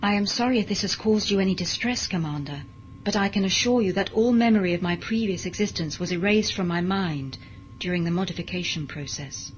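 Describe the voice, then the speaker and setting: A woman's voice: medium pitch, fairly quick, highs cut off, a little hiss or hum, close to an everyday voice. An actress playing an obedient, emotionless bionic woman who speaks formally and precisely in a science fiction audio drama. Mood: detached. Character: obedient, emotionless bionic female servant with erased memory.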